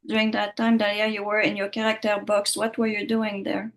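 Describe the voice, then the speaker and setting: A woman's voice: medium pitch, completely flat delivery, fairly quick, a bit bright, a little hiss or hum. A woman hosting a live question-and-answer session on a video call, patient and encouraging as she asks questions.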